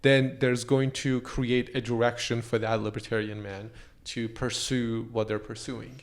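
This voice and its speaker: A man's voice: medium pitch, little pitch movement, measured pace. A man whose first language is Persian, co-hosting a casual podcast chat, agreeing with short yeahs and restarting his sentences. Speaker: podcast co-host.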